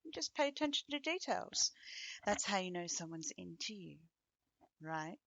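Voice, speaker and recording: Woman acting as young ex-girlfriend, ASMR roleplay, bitter, teasing, sardonic, bright edgy tone, very dry sound. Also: fairly low pitch, big pitch swings, vocal fry, no room sound, lo-fi sound, full character voice. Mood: teasing, familiar. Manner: teasing, sardonic, with dry chuckles and pointed pauses. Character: bitter, taunting young ex-girlfriend, playful yet menacing. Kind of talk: ASMR roleplay monologue to the listener.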